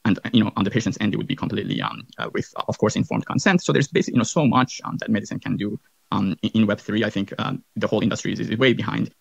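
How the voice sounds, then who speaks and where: A man's voice: on the low side, animated, rapid-fire, gravelly, breathy edge, dry recording, muffled recording. A man hosting a casual interview, rambling with many fillers and restarts. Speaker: host, physician.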